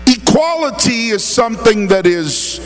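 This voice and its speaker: A man preaching to a church congregation in a high voice, unhurried and deliberate, pausing after each short phrase for an interpreter. He builds to shouted exhortations. Soft music plays underneath. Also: lively intonation. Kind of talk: Pentecostal sermon with interpreter.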